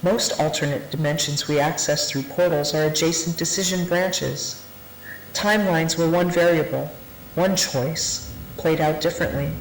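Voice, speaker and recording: A narrator playing a research division instructor who delivers a classified briefing in a formal, deliberate, matter-of-fact way, as in an analog horror training tape. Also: medium pitch, lightly acted. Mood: grave, explanatory. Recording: roomy, noisy 1990s VHS-style tape sound.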